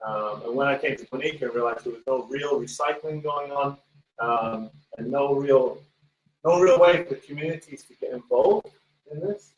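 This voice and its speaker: A man talking slowly and measuredly as an interview guest about his nonprofit work. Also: medium pitch, highs cut off. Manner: slow and measured. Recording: echoey room, distant from mic.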